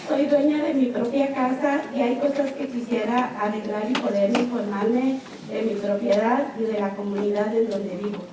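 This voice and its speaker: A woman's voice: on the high side, steady tone, moderate pace. A woman, a chaplain leader, giving earnest prepared public testimony at a city hearing with slight hesitations. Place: public meeting hall. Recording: very echoey hall, distant mic, noise.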